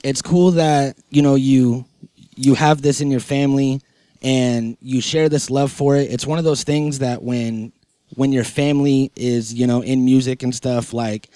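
A man's voice: medium pitch, steady tone, measured pace, dark tone, clear, resonant tone, no echo. A man hosting a podcast, chatting in a rambling, slangy and enthusiastic way. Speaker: podcast host. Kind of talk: casual podcast interview chat.

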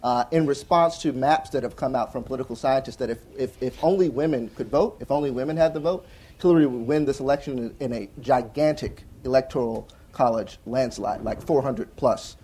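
A young adult man on a conference panel, talking earnestly and fast with frequent restarts and "right?" tags. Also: medium pitch, mellow, husky. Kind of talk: panel discussion answer. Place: conference hall with audience.

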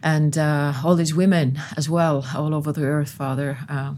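A woman co-hosting a faith podcast, leading a reverent spoken prayer with pauses. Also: sits low, little pitch movement, darkish tone. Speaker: co-host.

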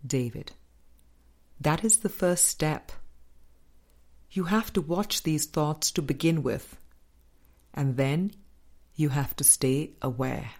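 Woman reading a spiritual audiobook, real slow and measured, voice a bit on the low side. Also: darkish tone. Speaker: audiobook reader. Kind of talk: scripted spiritual book reading.

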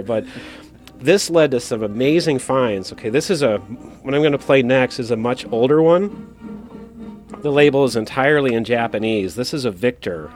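A man's voice: medium pitch, darkish tone. A man explaining things in a casual, conversational way as a record collector and DJ giving a hands-on demonstration. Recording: music playing behind the voice. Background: old records playing.

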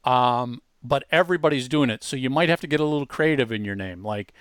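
Man giving a clear, casual tutorial. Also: medium pitch, brisk, no room sound, clean studio sound. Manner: informal, explanatory, addresses listeners directly. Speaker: host demonstrating software.

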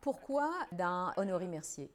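A woman interviewing a guest, asking direct, measured questions. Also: fairly low pitch, sing-song.

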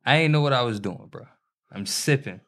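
A man's voice: mid-range voice, lively intonation, brisk. A man in his thirties co-hosting a podcast, talking loosely and laughing a lot, swearing freely as he tells stories. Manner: loud, laughing, profane banter. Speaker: podcast co-host. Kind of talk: casual chat.

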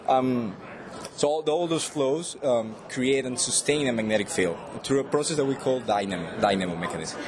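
A man's voice: medium pitch, normal speed, a crowd in the background. A young man from Venezuela with a Venezuelan Spanish accent, a PhD student explaining his research in an interview, hesitant with many restarts and fillers.

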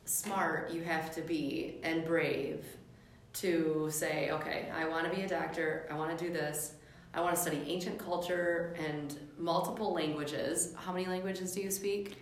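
A woman's voice: sits low, steady tone, measured pace. A woman with an American accent hosting a casual interview as a teacher chatting with a student. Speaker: podcast host, English teacher. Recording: echoey room, faint background noise.

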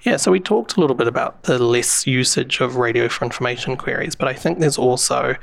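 A man's voice: mid-range voice, brisk, gravelly. Man, New Zealand accent, interview guest, explanatory, filler-heavy. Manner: explanatory, frequent fillers and restarts. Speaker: interview guest, public safety software expert. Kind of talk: conversational interview answers.

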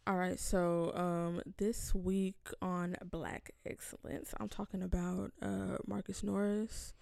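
Gravelly-voiced woman, halting, in a dry space. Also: lowish voice, level tone, darkish tone, no echo. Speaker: podcast co-host. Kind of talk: casual spoken segment presentation.

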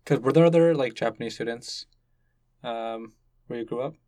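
A man's voice: medium pitch, normal speed, no room sound. Curious man interviewing, dry sound. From Canada. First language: Cantonese. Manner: curious, gently probing. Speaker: podcast host and interviewer. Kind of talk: conversational interview.

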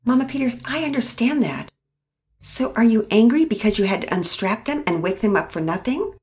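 A patient, soft-spoken storybook father who asks mild, careful questions, as a woman reading aloud puts him on. His voice is gentle and a little higher than the reader's own. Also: animated, brisk, natural voice.

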